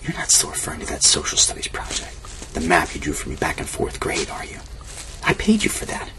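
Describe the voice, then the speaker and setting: A man's voice: rumbling low, huge pitch swings, brisk, bright, forceful tone, slight background noise, exaggerated voice. A man acting as a skeptical, wisecracking cousin and reluctant sidekick in an ASMR audio drama, slangy and teasing. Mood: teasing, grumbling.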